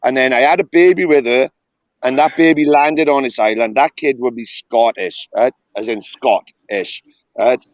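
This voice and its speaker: A man's voice: medium pitch, moderate pace, no echo. A young man in a heated voice-chat argument, pushing his point hard and talking over the other man. His audio is dull and lo-fi, with a dry, close sound and no room around it. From Wales. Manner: insistent, talks over the other man, repeats himself.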